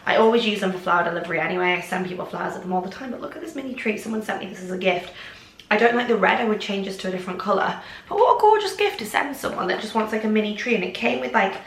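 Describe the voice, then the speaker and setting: A chatty young woman from England talks to camera in her kitchen, rambling happily with lots of little asides. Her voice sits at an ordinary middle pitch and sounds a bit snuffly. The room around her rings with echo. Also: lively intonation, very fast, fairly bright tone, slight background noise. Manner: bubbly, rambling, lots of "like" and "oh my gosh". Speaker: vlogger. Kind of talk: casual chatty vlog to camera. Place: home kitchen, indoors. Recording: echoey room.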